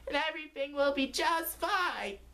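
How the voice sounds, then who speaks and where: A man's voice: very high voice, animated, normal speed, sharp tone, pitched above the performer's own voice, exaggerated voice. A young man voicing a small ice cream cone uncle who reassures and urges his nephew on in an improvised story. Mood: reassuring. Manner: reassuring, urging.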